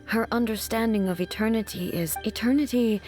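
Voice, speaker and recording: A woman's voice: on the high side, a little mellow, natural voice. Actress as a solemn, regal woman ruler. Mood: reflective. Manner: formal, measured, earnest. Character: solemn, regal female ruler, grave and reflective. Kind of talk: anime video game voice acting. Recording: game music behind the voice.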